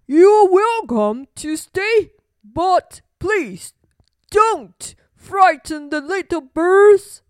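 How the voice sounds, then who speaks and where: Storybook elephant hero played by a woman: high voice, very slow, polite, firm, close dry sound. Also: expressive, no echo, exaggerated voice. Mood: polite pleading.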